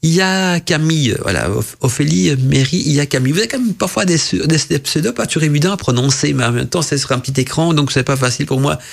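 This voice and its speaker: Rambling gravelly-voiced male radio host. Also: a little high, animated, fast talker, hardly any pauses. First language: not French. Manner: rambling, many fillers and restarts. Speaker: radio show host reading listener messages. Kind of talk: casual radio chat interpreting dreams.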